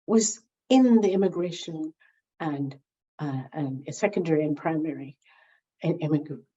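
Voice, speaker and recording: Woman interviewee, lower voice, mellow tone, lively storyteller, slightly dull recording. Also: breathy edge. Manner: animated storyteller, repeats words while gathering thoughts. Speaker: interviewee, founding member recalling early days.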